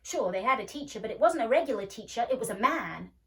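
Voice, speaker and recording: Woman as young storybook boy, condescending, scornful, emphatic, raised pitch, loud delivery. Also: expressive, fast talker, recorded from a distance. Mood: insistent.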